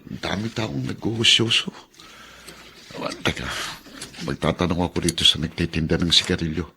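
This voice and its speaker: A man's voice: sits low, normal speed, vocal fry, bright, forceful tone, a little hiss or hum, heavily acted. An actor playing a worried husband and father in a Filipino radio drama. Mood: musing, annoyed. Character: Samuel, worried husband and father driving home.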